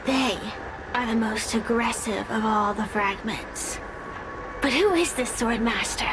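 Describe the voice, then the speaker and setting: A woman's voice: fairly high pitch, unhurried, noisy background, close to an everyday voice. An actress playing a sly, mocking female spirit in a video game, haughty and theatrical in her drawl. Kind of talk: dramatic game voice acting.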